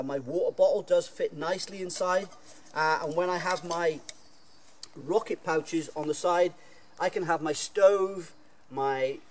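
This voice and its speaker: A man's voice: a little high, darkish tone, a little hiss or hum. A middle-aged man chatting enthusiastically to camera while reviewing camping gear outdoors in a woodland. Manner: chatty, enthusiastic, folksy with fillers. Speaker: bushcraft reviewer.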